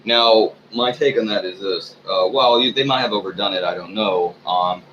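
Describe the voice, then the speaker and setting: A man talking alone at home in a meandering personal vlog, full of ums and trailing thoughts, in a sleepy, low-key way. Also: moderate pace, fairly bright tone. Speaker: vlogger. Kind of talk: rambling personal monologue. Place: room at home. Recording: muffled, noisy home recording.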